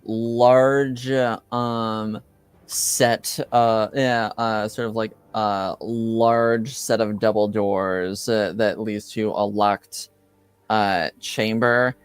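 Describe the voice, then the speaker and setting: A man narrating a tabletop game as game master in a hesitant, halting way. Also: on the low side, little pitch movement, clear, resonant tone, dry recording.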